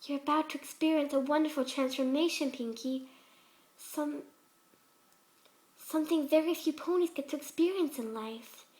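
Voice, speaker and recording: Female reader as twisted pony surgeon for a horror story: very high, gentle, cooing, serenely mad. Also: moderate pace, close to an everyday voice. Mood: calmly fervent. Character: sweet, soft-spoken, twisted female pegasus pony surgeon.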